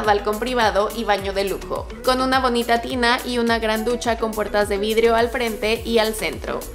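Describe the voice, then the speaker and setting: A woman, reading an upbeat scripted narration for a celebrity house tour and talking to her viewers as friends. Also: on the high side. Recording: roomy voice-over with background music.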